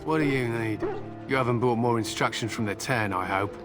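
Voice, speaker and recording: A man's voice: mid-range voice, brisk, music in the background, natural voice. An actor playing a stern, proud male warrior speaking curtly and solemnly in a fantasy video game. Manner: curt, solemn. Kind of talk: dramatic video game acting.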